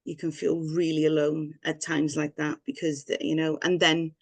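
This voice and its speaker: A woman's voice: low-pitched, little pitch movement, normal speed, vocal fry, no echo. A woman from Wales, a guest telling her own story in a rambling, candid way with frequent laughs. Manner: rambling, personal, laughs often. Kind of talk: candid personal story in interview.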